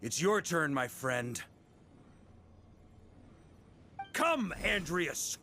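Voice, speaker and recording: An actor playing a confident, hearty middle-aged knight commander in a video game, with a wry, easygoing manner. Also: male voice, a little high, very animated, takes it slowly, bright, forceful tone, long reverb tail, a little hiss or hum, full character voice. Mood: bold.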